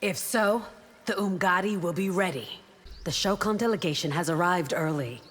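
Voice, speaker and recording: A woman's voice: on the low side, normal speed. An actress playing a loyal, solemn, steely royal guard warrior woman in a fantasy fighting game, formal and resolute. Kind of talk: video game fighter intro dialogue. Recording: echoing hall, clear background noise.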